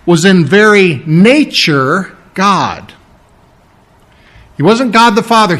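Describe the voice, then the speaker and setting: Man preaching with slow stressed phrases. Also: on the high side, a bit bright. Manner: explanatory, with asides and humor; slows down to stress key phrases. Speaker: pastor. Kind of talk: sermon teaching on scripture.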